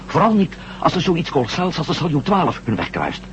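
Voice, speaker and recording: Muffled noisy old radio play, bold astronaut adventurer, very lively intonation, persuasive, decisive. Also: male voice, on the high side, brisk. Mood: determined. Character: bold, quick-witted male former astronaut and reporter. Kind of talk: Dutch radio drama acting.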